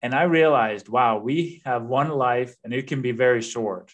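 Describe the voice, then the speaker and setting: A man's voice: medium pitch, normal speed. Danish-accented man in his fifties. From Denmark. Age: 50s. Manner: earnest, reflective, with fillers. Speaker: guest, real estate investor. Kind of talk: casual interview chat.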